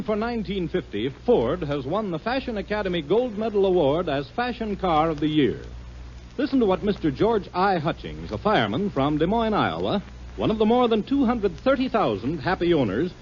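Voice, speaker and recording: A man reading a 1950s radio car commercial with a smooth salesman delivery. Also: high voice, sing-song, moderate pace, a little mellow, slight background noise. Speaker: commercial announcer. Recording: dull old radio broadcast.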